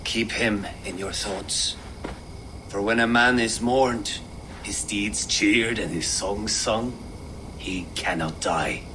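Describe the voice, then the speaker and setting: An actor playing a mournful Viking warrior honoring a fallen friend in a game, slow and solemn. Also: male voice, lowish voice, pushed and bright, slight background noise, poor audio.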